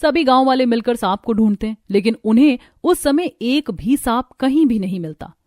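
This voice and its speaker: A woman storyteller reading scripted narration for an animated tale. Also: on the high side, moderate pace, dry recording, studio quality.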